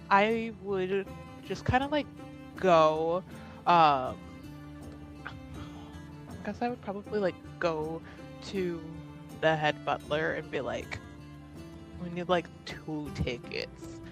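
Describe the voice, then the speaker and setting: A woman's voice: lowish voice, slow, long pauses, faint music behind. A woman, giggly and rambling as she improvises casually as a player in a tabletop roleplaying game.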